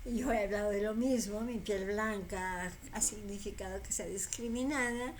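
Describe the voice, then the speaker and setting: An older woman, a guest explaining tradition in a conversation, unhurried and telling it like a story, pausing to correct herself. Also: mid-range voice, steady tone, a little hiss or hum.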